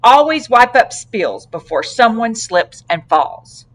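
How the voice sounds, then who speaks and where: Woman acting an earnest policeman, slow and emphatic. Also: on the high side, sing-song, full character voice. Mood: earnest, instructive. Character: earnest male police officer giving safety tips.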